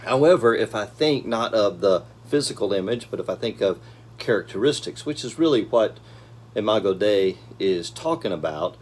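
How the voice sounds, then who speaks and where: A man in his fifties giving a casual daily devotional talk from a small office, with gentle pacing and frequent ums and uhs, recorded by a camera at desk distance. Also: fairly low pitch, slight background noise. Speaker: church devotional speaker.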